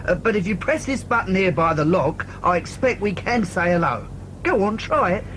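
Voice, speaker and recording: An actor playing a brusque but avuncular elderly husband and train enthusiast in an audio drama. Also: male voice, high-pitched, animated, moderate pace, fairly bright tone, a little hiss or hum. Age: older.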